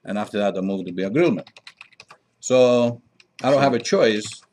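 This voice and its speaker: A middle-aged Greek man speaks in a low-pitched voice with a Greek accent. He talks conversationally as an interview guest, picking his way through English as a second language in halting phrases with frequent pauses. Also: darkish tone. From Greece. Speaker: interview guest, diner owner.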